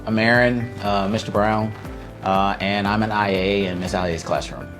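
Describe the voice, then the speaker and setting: A man's voice: fairly low pitch, fairly even intonation, normal speed, over music. A young man from the Indianapolis area, an instructional assistant, answering casually with many fillers. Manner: casual, filler-heavy sports-fan talk.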